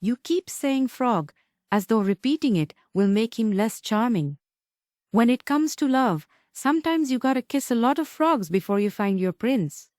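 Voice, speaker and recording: High-voiced dreamy romantic woman acted by a woman. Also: expressive, normal speed, a little mellow, no room sound, studio quality, close to an everyday voice. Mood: defensive, romantic. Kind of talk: comic stage play table read.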